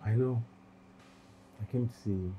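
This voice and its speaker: An actor with a Nigerian accent playing a smooth, persistent, generous suitor in a naturalistic screen drama. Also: male voice, mid-range voice, normal speed, muffled recording, close to an everyday voice. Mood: coaxing.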